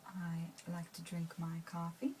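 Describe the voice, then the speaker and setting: A woman's voice: lowish voice, completely flat delivery, takes it slowly, a little mellow, slight background noise. A woman, talking to her viewers in a rambling, hesitant way with many fillers and restarts. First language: Swedish. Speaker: vlogger. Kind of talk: casual talk to camera.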